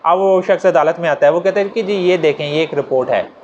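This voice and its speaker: Higher-voiced Pakistani man lecturing, dull noisy recording. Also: quick pace. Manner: conversational, acting out hypothetical examples, repeating points. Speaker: law lecturer. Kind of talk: informal explanatory law lecture. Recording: dull lo-fi audio with noticeable noise.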